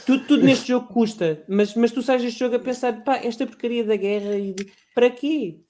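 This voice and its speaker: A man with a European Portuguese accent, chatting as a podcast guest, passionate and halting with many restarts. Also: very high voice, mellow. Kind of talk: casual chat.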